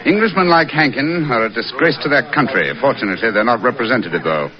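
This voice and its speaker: An actor playing a shrewd, composed gentleman detective, speaking precisely and deliberately in a muffled 1940s radio drama. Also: medium pitch, animated, a crowd in the background, natural voice. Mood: polite, firm.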